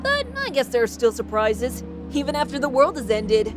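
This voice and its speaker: A woman's voice: high-pitched, wildly swooping pitch, moderate pace, music in the background. A woman voicing a small, playfully sweet half-demon boy in an audio drama, with a chirpy, teasing manner. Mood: playful, chatty.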